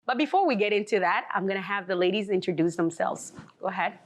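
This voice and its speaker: A woman hosting a casual panel discussion, speaking quickly and finishing her guests' sentences. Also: medium pitch. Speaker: talk show host.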